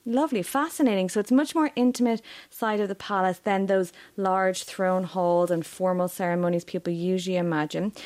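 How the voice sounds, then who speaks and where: A woman's voice: mid-range voice, a little mellow, no echo. Woman radio host, chatty, small restarts, close dry mic. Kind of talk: radio interview.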